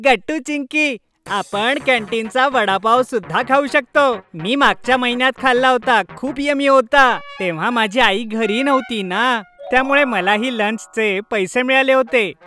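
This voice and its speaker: Eager schoolboy pal male performer, very high voice, excitable, food-loving, children's cartoon. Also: normal speed, few pauses, soft background music. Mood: excited, boastful. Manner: eager, enthusiastic.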